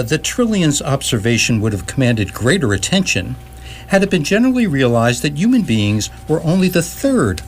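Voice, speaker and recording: Man as lively-pitched, dry radio play narrator. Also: a little hiss or hum, close to an everyday voice. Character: dry, erudite encyclopedic guide narrator. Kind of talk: scripted narration for live radio theatre.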